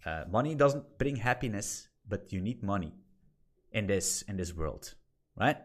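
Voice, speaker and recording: A man's voice: fairly low pitch, expressive, a little slow. A man in his thirties whose first language is Dutch, teaching an informal online course in a blunt, swearing manner and repeating his points for emphasis. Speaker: course instructor. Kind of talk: informal screen-share lesson.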